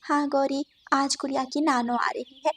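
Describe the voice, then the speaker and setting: A woman voicing a gentle, homely mother bird in a cartoon, soft-spoken and kindly. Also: high voice, little pitch movement, moderate pace.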